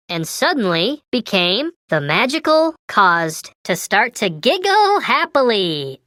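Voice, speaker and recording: Cheerful toy elf, storybook narrator for kids: crisp bright tone, big pitch swings, measured pace. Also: female voice, no room sound, clean studio sound. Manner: perky, animated, exaggerated storybook delivery. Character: cheerful little Christmas elf toy telling fill-in-the-blank stories. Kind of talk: scripted children's storytelling and toy prompts.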